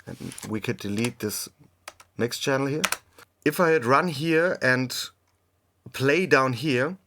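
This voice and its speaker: Slow-paced man giving a software tutorial. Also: medium pitch, background noise. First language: German. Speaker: music production tutorial host. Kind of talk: unscripted software tutorial narration.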